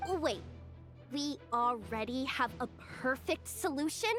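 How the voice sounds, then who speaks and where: A woman voicing a small excitable companion creature in a video game, impatient and squeaky. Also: a little slow, soft background music. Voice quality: squeaky and bouncy.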